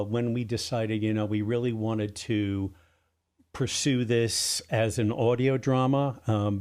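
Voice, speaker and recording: A middle-aged or older man giving a conversational radio interview as a guest writer, halting as he recounts details. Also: on the low side, a little mellow, smooth. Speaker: guest, audio drama writer and producer. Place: radio studio.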